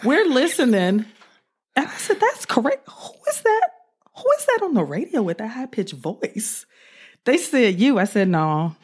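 A woman police officer telling an animated story in an interview, acting out what she said at the time. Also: on the high side, unhurried, slightly rough. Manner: animated, acts out her own quotes.

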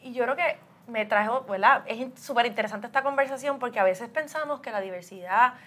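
A woman's voice: fairly high pitch, fairly quick, a bit bright. A woman hosting an interview, asking questions conversationally with frequent tag questions and restarts. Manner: conversational, frequent "¿verdad?" tags and restarts while forming questions. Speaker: host.

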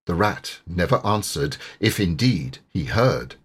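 A man with a lowish voice narrates literary fiction with dramatic feeling. His delivery is slow and measured, with lively rises and falls. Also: slightly rough. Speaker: audiobook narrator, voice actor.

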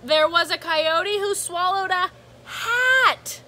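A woman reading a rhythmic picture book aloud to children like a teacher, animated and sing-song, with asides to her listeners. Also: extremely high pitch. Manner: animated, sing-song, drawn-out exclamations and gasps; engaging listeners with asides and prompts. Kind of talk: rhythmic storybook read-aloud.

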